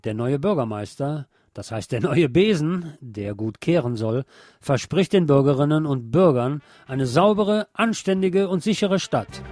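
A man's voice: medium pitch, darkish tone. A man presenting scripted local radio news as a narrator. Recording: music playing behind the voice. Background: background music.

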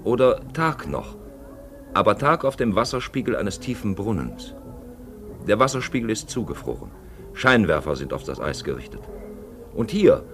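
A man's voice: on the low side, a little hiss or hum. A man narrating a literary radio feature from a script.

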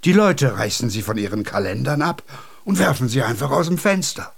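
An actor playing a whimsical, kindly junk collector in a German radio play, musing and animated with a raspy voice. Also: male voice, mid-range voice, brisk. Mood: eager, proud.

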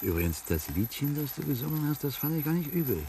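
An actor in a German radio play, playing a brash, bossy but chummy worker with a blunt, colloquial way of talking. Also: male voice, fairly high pitch, very animated, fast talker, warm tone, a little hiss or hum. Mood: musing. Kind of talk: German radio drama acting.

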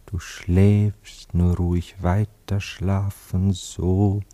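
A dreamy, jealous lover in a German radio play. His voice sits low. He is theatrical, given to ardent declarations and slow, soothing murmurs. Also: level tone, dark tone. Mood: hypnotic, soothing. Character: Anatol, jealous, dreamy, lovesick Viennese male lover.

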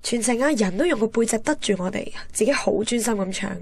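A woman's voice: a little high, fast, darkish tone, husky, no room sound. An actress playing a candid, guarded young woman with a Hong Kong accent, frank and composed in a radio drama. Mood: reflective. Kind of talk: radio drama narration and dialogue.

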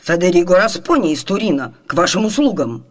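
A woman playing a proud young lady disguised as a gentleman, formal, poised and imperious, in a theatrical radio play. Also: on the low side, very animated, brisk. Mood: poised, assured.

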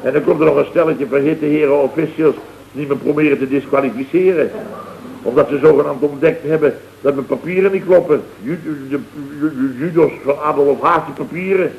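An actor playing an indignant middle-aged boss of a building firm in an old radio comedy, exclamatory and colloquial, with a Dutch accent. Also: male voice, on the high side, brisk, creaky. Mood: aggrieved. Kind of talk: 1970s radio comedy acting. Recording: dull, noisy old radio recording.

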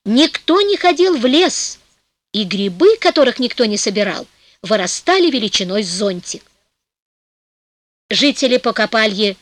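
A woman narrating a fairy tale in a lively storytelling manner for an audiobook, slowing down for rhymed passages. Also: on the high side, no echo.

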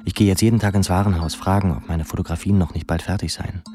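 An actor playing a wry, dreamy, self-mocking young pupil in a radio drama monologue, musing and halting in a theatrical way. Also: male voice, low-pitched, steady tone, warm tone. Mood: wry, reflective. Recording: music behind the voice.

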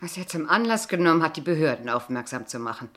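Prim questioning teacher, disapproving, reserved, lower-pitched, very varied intonation, radio play dialogue. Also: female voice, lively intonation, quick pace, fairly bright tone. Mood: accusing. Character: probing, disapproving female kindergarten teacher, unmarried.

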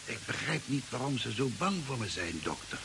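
A man's voice: mid-range voice, very animated, moderate pace, gravelly, fairly bright tone. An actor playing a confused, irritable but self-assured stranded spaceman in vintage radio drama style. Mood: calmly deluded. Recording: old hissy, noisy radio recording.

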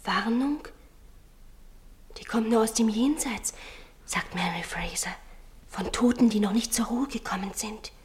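A very high, girlish voice from a woman acting the part in a radio play. She is a twelve-year-old, secretive and intensely attached, confiding breathily and pleading with jealous urgency. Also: a little slow, pauses often, a little hiss or hum, natural voice. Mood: hushed, eerie.

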